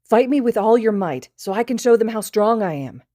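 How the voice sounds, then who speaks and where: A woman's voice: medium pitch, animated, moderate pace, warm tone, no echo, clean studio sound, voice pushed up, natural voice. A woman voicing a giddy, intoxicated teenage boy student who giggles and rambles in a storybook reading. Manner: giggly, rambling, sing-song. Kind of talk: fan fiction read aloud.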